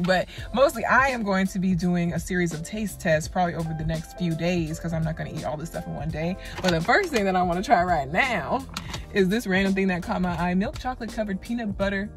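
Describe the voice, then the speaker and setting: A young woman in her twenties, talking casually and thinking aloud as she shows and tastes her groceries, laughing often. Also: mid-range voice. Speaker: lifestyle vlogger. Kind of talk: casual haul and taste-test vlog. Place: car, then a home kitchen.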